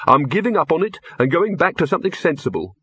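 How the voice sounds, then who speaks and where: A man's voice: a little high, sing-song, fast, a bit bright, breathy edge, no room sound, pitched above the performer's own voice, exaggerated voice. A man voicing a glum, fussy, doubtful alchemist with a hesitant, muttering delivery for an audiobook. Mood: dejected.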